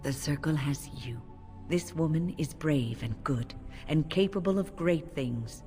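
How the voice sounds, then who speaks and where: An actress playing a calm, wise and determined mage in a video game, speaking politely and formally. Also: female voice, deep voice, measured pace. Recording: long hall reverb, faint music.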